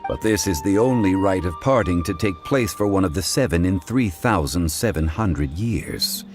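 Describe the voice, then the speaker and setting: Deep-voiced, erudite gentleman scholar. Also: expressive, music in the background. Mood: composed, scholarly. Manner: formal, measured, eloquent. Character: composed, erudite gentleman scholar, courteous and tradition-minded. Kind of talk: video game voice acting.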